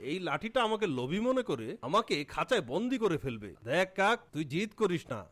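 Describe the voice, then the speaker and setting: A man voicing a patient but fussy cartoon eagle healer with a husky, familiar, emphatic delivery. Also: high-pitched, sing-song, fairly quick, fairly bright tone. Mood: firm, pleading. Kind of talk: animated cartoon character acting.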